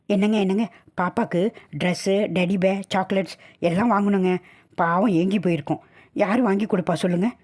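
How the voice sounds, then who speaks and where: A woman's voice: mid-range voice, steady tone, moderate pace, a little mellow, natural voice. A woman voicing a doting, childlike young mother who pleads emotionally and repeats her words in a dramatised audio story. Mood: eager.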